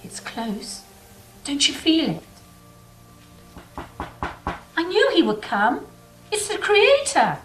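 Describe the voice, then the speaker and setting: A woman's voice: high voice, huge pitch swings, slow pace, long pauses, faint music behind, heavily acted, low-quality recording. A woman playing a romantic, optimistic angel in an audio drama, effusive, playful and affectionate. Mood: awed.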